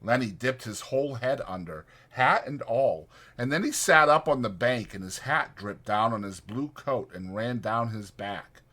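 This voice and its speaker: A man reads literary fiction as an audiobook narrator. His voice is husky and forceful, with deliberate, expressive phrasing and a very lively pitch. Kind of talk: dramatized scripted narration of a literary novel.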